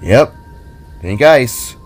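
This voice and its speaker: A man voicing a cheery, positive orb-headed being in a story, with a reassuring manner and quiet music behind. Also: mid-range voice, lively intonation, drawn-out words. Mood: curious.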